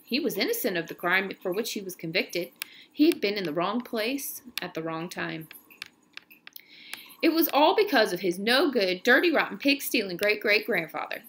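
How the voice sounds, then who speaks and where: Female read-aloud narrator for a children's novel, medium pitch, very animated delivery, slightly noisy amateur recording. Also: moderate pace.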